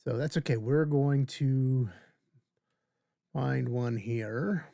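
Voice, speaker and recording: A man's voice: medium pitch, slow pace, vocal fry, mellow, no echo. Middle-aged man, dry close-miked tutorial voice. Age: 50s. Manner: informal, thinking aloud while demonstrating. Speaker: video tutorial host. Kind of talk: casual screen-share software tutorial.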